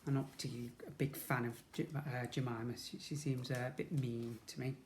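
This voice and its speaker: A man's voice: mid-range voice, little pitch movement, moderate pace, a little mellow, slight background noise. A man reading a storybook aloud expressively to young children, as a schoolteacher would.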